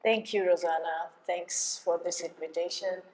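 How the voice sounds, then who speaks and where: A middle-aged woman from Bangladesh, giving a lecture as a guest architect and talking in a halting way, with many fillers and restarts. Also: fairly low pitch, steady tone, background noise. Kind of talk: lecture to a live audience. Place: lecture hall. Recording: echoey lecture hall.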